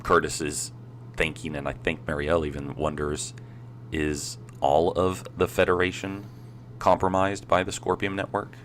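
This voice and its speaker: Very deep, congested man, flat delivery. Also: moderate pace, slight background noise. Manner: laid-back, recapping game plot. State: congested, coming down with a cold. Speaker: podcast co-host. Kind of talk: casual chat.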